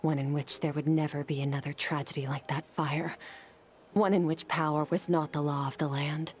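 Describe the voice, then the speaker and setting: Woman acting a low-voiced, solemn young swordswoman. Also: fairly even intonation, slight background noise, lightly acted. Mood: solemn, resolute. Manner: grave, measured delivery. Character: stoic young swordswoman, somber, driven, haunted by fear of her master. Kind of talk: scripted video game voice acting.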